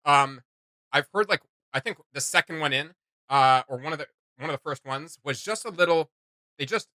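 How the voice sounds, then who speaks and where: A man's voice: fairly high pitch, normal speed, sharp tone, no room sound. A man hosting a reaction video, giving casual, opinionated commentary in an analytical, emphatic way.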